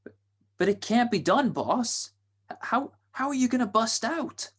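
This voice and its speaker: A man acting a nervous, slow-witted gangster's henchman in a radio play, hesitant and stammering, with slangy speech. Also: extremely high pitch, very animated, husky, heavily acted. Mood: anxious, disbelieving.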